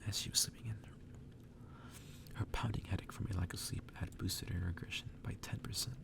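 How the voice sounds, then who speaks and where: Guy doing asmr story reading, super crackly low voice, mostly whispering. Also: steady tone, a little fast. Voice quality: breathy whisper, gravelly low voice. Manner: soft, hushed reading aloud. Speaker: ASMR reader.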